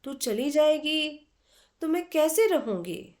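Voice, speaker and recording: A woman storyteller acts out an earnest young woman volunteer from a storybook, affectionate and full of feeling. The voice is raised in pitch and moves expressively, at a slow pace. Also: sing-song, a little mellow, exaggerated voice. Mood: sad, wistful. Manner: earnest, emotional.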